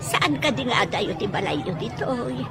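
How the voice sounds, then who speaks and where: A woman's voice: on the high side, animated, moderate pace. A woman playing a friendly, chatty old grandmother in a radio drama. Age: older. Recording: loud music and crowd noise behind.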